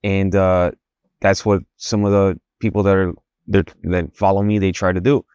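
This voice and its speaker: Low-voiced halting podcast host, dry mic. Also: male voice, little pitch movement, darkish tone, smooth, no echo. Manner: halting, restarts often, thinks aloud. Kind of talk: podcast interview.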